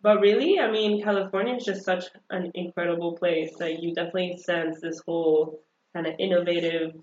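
A woman with a mid-range voice, chatting in an upbeat, animated way as a guest. She peppers her speech with fillers and laughs often. Her voice sounds roomy and dull, like a lo-fi recording. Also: fairly low pitch, a little fast. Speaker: interview guest. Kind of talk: casual conversational interview.

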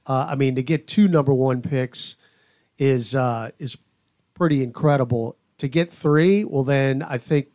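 A man who works as a play-by-play announcer, giving conversational sports analysis in a studio with measured, paused phrasing. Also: mid-range voice, a little mellow, dry recording. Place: podcast studio.

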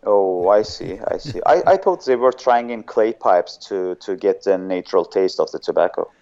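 Deep-voiced man with an Azerbaijani accent. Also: animated, normal speed, gravelly, a little mellow, lo-fi sound. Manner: hesitant, often searching for words. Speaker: guest. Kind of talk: casual interview chat.

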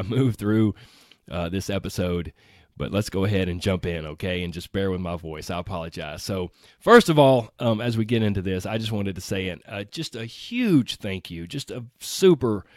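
A man hosting his podcast alone, his voice hoarse after being sick, talking in an upbeat, earnest way with frequent exclamations. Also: lowish voice, no room sound, studio quality. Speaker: podcast host. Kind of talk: solo podcast talk.

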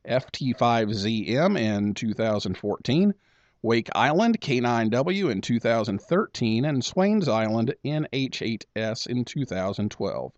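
A man hosting a radio talk show, chatting casually with a guest and pausing often on filler sounds. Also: mid-range voice, sing-song, a little mellow, no room sound, lo-fi sound. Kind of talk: casual interview chat.

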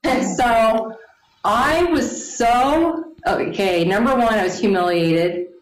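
A woman in her forties telling her story to an interviewer, animated, laughing often and acting out the people she quotes. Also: fairly high pitch, slow. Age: 40s. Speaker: guest. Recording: echoey room.

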